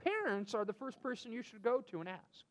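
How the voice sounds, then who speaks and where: A man's voice: extremely high pitch, very animated, fairly quick, a bit bright. A man hosting a children's storybook reading, acting out the dialogue and bantering casually as he goes. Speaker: host and storybook reader for children.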